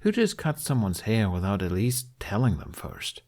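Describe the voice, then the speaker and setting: A man's voice: on the low side, big pitch swings, normal speed, a little mellow. A man voicing a grumpy, easily embarrassed young man in an audiobook, grumbling and forbidding in manner. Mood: slow protest.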